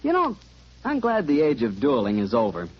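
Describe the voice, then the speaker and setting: Animated American vintage radio announcer, man. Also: mid-range voice, normal speed. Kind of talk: 1940s radio announcing and sponsor pitch. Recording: dull 1940s radio broadcast, music behind.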